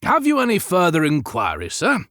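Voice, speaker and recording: Audiobook role: man playing a businesslike, deferential factory overseer of Victorian times, higher-pitched, crisp and clipped. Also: wildly swooping pitch, normal speed, bright, forceful tone, dry recording, clean studio sound, exaggerated voice. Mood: brisk, deferential. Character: deferential Victorian mill overseer, brisk and matter-of-fact.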